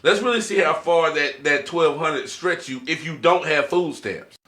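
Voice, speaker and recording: Bright, slangy male podcast host. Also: a little high, moderate pace. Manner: slangy, conversational, frequent check-in catchphrases. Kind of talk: casual podcast chat.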